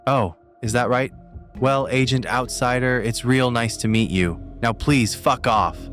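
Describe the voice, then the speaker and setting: A man's voice: medium pitch, a little slow, a bit bright, soft background music, close to an everyday voice. An actor playing a proud, prickly male politician with a curt, sarcastic manner. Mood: angry, sarcastic.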